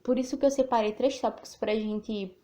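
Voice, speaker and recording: A woman's voice: on the high side, fairly even intonation, fairly quick, dark tone. A woman from Northeast Brazil with a Sergipe accent, hosting a podcast, chatting in an informal, reflective way and reading aloud a text she wrote. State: a little nervous. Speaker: podcast host and writer. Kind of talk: podcast monologue with reading of her own text.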